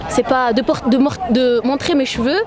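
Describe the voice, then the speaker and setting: A woman giving a spontaneous street interview, halting and repeating her phrases insistently. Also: high voice. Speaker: demonstrator interviewed at a march. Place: outdoors in a city street at a demonstration. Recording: noisy outdoor crowd and traffic.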